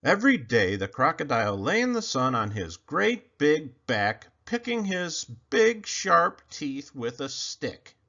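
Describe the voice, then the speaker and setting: Animated man reading a picture book. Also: fairly high pitch, slow, hardly any pauses. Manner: expressive, animated storytelling. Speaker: storyteller. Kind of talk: children's read-aloud narration. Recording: slightly muffled recording.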